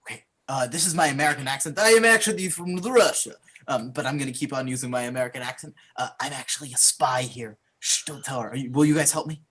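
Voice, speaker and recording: A theatrical Russian spy villain, played by a man in an improv scene, hissing secrets and then growling threats. The voice sits mid-height but sounds sharp and bright. The delivery stays hammy and gloating. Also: medium pitch, fast, husky. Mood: secretive. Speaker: improv performer.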